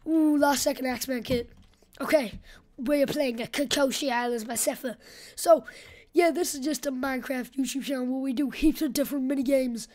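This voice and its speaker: High, scratchy-voiced young female gamer. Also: normal speed, a little mellow. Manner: rambling, slangy. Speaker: gaming video host. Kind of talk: casual gameplay commentary.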